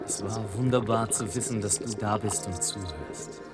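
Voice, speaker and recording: An actor plays a gentle man in love with a lowish voice. It is a science-fiction radio drama, with loud music and dripping water around his thoughtful words. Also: normal speed, close to an everyday voice. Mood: tender, wondering.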